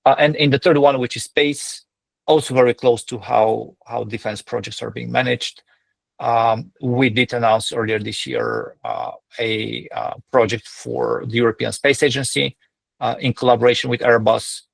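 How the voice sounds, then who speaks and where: Very gravelly-voiced man, executive on a call. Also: mid-range voice, moderate pace, no echo. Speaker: company executive. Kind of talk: earnings call answer, semi-formal explanation.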